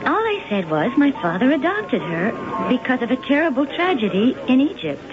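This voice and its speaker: An actress playing an earnest young woman, an archaeologist's daughter, in an expressive radio-drama style. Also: sits high, moderate pace, a crowd in the background. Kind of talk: old-time radio drama acting. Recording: dull old radio drama recording.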